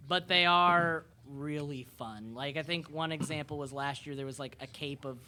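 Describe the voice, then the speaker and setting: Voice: mid-range voice, normal speed, sharp tone. A game master chatting casually while running a tabletop game, with some noise in the background.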